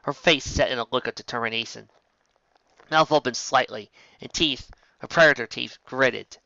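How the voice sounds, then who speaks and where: Bright-voiced man reading a story. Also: medium pitch, moderate pace, creaky, dry recording. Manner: plain storytelling with small slips and restarts. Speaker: reader. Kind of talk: fan fiction reading. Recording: dull, lo-fi home recording.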